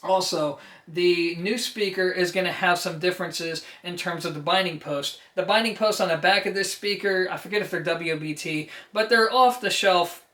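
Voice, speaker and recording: A man's voice: high voice, moderate pace, sharp tone. A man with a New Jersey accent giving a conversational, enthusiastic and emphatic product review straight to his viewers. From New Jersey, United States. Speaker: audio equipment reviewer and host.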